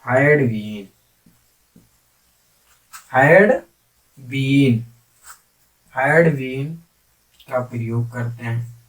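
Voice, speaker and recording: A man's voice: mid-range voice, extremely slow, long pauses. A man teaching grammar like a classroom teacher, repeating key phrases and putting questions to his students. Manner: repetitive, question-and-answer teaching, addressing students. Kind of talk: classroom-style grammar lesson in Hindi with English terms. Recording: distant microphone.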